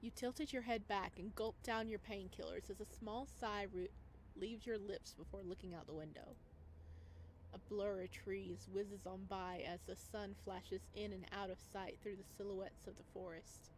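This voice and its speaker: A woman's voice: medium pitch, normal speed, slight background noise, poor audio. A woman reading visual novel narration aloud as a let's player, expressively, with chatty casual asides. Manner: expressive reader who breaks into chatty asides. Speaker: video game let's player. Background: background music.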